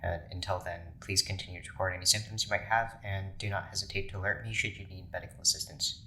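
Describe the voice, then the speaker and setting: Audio drama scientist man acting: stiff, precise, formal, bright forceful tone, faintly noisy recording. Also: deep voice, flat delivery, fast, heavily acted. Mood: formal concern. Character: stiff, formal scientist doctor running experiments.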